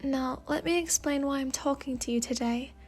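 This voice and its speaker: Female reader as mystical mirror spirit, British accent, high pitch, playful, pleading, theatrical storybook character. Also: moderate pace, soft background music, lightly acted. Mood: insistent.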